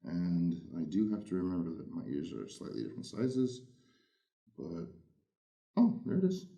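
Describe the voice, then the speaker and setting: A loud, big-voiced man hosting a casual, playful unboxing of earphones. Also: sits low, wildly swooping pitch, moderate pace, creaky. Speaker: headphone reviewer. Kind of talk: casual unboxing first impressions.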